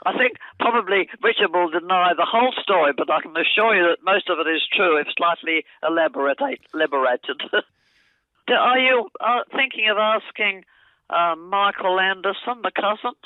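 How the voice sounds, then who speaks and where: An older Australian woman chatting and telling a rambling family story on the phone, laughing often and talking loudly. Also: lowish voice, animated, normal speed, dry recording. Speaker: aunt recalling family stories on a phone call. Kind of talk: casual phone chat, storytelling. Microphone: telephone. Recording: tinny phone line, dry.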